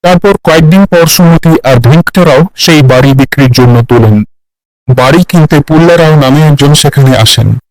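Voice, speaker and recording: A man's voice: a little high, normal speed, dry recording, rough recording. A man narrating a cartoon fairy tale in a scripted storytelling style.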